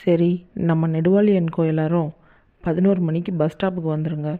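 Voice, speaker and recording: A woman's voice: deep voice, steady tone, a little fast, natural voice. A woman voicing a cheery, coaxing cartoon village woman with a colloquial Tamil Nadu accent. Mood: cheery, organising. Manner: colloquial, chummy endearments. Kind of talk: cartoon comedy skit dialogue. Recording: tinny phone-like narrow band.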